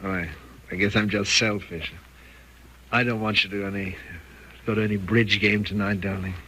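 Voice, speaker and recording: An actor playing a domineering, scheming husband in a 1940s radio thriller, with an insistent theatrical delivery. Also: male voice, on the low side, fairly even intonation, moderate pace, bright, forceful tone, breathy edge. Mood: smooth, evasive. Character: domineering, smooth-talking, scheming husband, coldly intense. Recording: noisy old 1940s radio recording.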